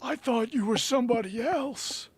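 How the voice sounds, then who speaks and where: Husky, very high-pitched dad for a comedic fan story reading, man performing. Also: normal speed. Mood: relieved. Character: father character in a comic fanfiction reading. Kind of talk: comic amateur read-aloud of fiction.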